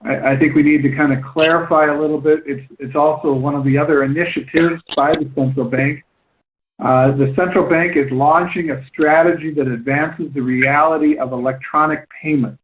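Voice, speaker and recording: A man hosting a conference call, explaining things in a halting way, often repeating and rephrasing himself. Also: fairly high pitch, fairly even intonation. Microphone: phone line. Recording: tinny phone line conference call.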